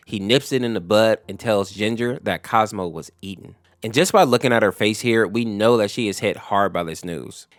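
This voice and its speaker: Low-voiced man narrating, dry close sound. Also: a bit bright, no echo. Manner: explanatory, addresses viewers directly. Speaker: YouTube lore explainer host. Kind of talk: conversational scripted narration, recapping a story.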